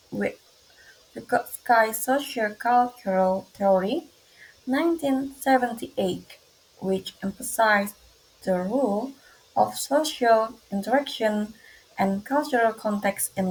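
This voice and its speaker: A young woman with an Indonesian accent presents a class report. She reads from her notes at a slow, deliberate pace, and her voice is soft and a little breathy. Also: on the high side, poor audio. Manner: slow, careful reading with grammar slips. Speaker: student presenter. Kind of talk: reading a class presentation aloud.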